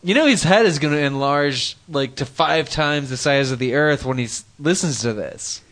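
A man co-hosting a comedy podcast, chiming in with quick agreement and laughter. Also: a little high, a bit bright. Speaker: podcast co-host. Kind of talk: casual comedy chat.

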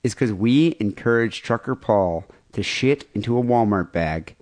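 A man's voice: lowish voice, expressive, moderate pace, a little mellow. A man hosting a comedy podcast with casual, irreverent banter and mock apologies. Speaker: podcast host.

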